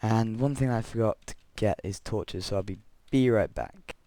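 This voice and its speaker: Croaky young man chatting over gameplay. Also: fairly low pitch, moderate pace, dark tone. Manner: rambling, self-correcting, chuckling asides. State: croaky voice from illness. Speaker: Minecraft let's player. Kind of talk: casual gameplay commentary and storytelling.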